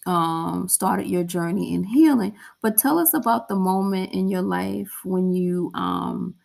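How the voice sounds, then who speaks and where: Gravelly-voiced woman hosting a gushing chat. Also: lowish voice, a little mellow. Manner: gushing and enthusiastic. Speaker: podcast host. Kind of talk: casual interview chat.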